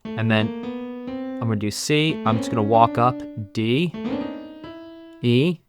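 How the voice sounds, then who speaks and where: A man teaching as a channel host, casually narrating a step-by-step music software tutorial and talking through each click. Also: mid-range voice, a little slow.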